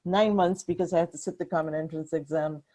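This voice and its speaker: A woman on a family video call, telling family history in a slow, halting way. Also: low voice, steady tone, dark tone.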